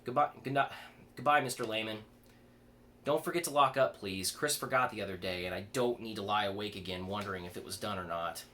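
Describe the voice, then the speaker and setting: Man acting brisk, excitable male cinema boss. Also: lowish voice. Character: male cinema boss, bossy, easily alarmed. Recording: clear background noise.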